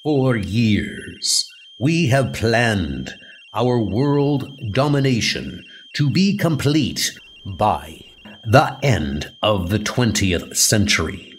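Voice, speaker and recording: Man playing a slow, grandiose scheming villain. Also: lowish voice, sing-song, a little hiss or hum, full character voice. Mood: menacing, grandiose. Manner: portentous, deliberate. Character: grandiose scheming villain plotting world domination. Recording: music under the voice.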